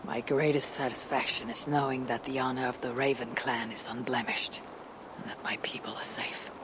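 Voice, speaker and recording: An actress playing a tough, proud female Viking warrior in a video game, deliberate and solemn, with a husky, gravelly voice. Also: extremely low pitch, steady tone. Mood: solemn, curious. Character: tough, proud Viking woman warrior, loyal to her clan. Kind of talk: video game cutscene acting. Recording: noisy outdoor game ambience.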